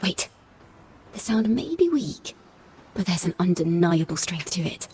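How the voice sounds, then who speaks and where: A woman's voice: on the low side, sing-song, unhurried, darkish tone, slightly rough, exaggerated voice. A woman voicing a cheerful, musing young wandering musician in a video game, with lyrical, theatrical phrasing. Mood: thoughtful. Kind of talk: video game character dialogue.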